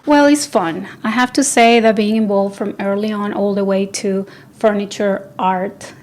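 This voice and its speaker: A woman's voice: medium pitch, fairly even intonation, unhurried, darkish tone. A woman from Venezuela who owns an interior design studio, answering interview questions in a radio studio, with frequent fillers and restarts. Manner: hesitant, many fillers and restarts.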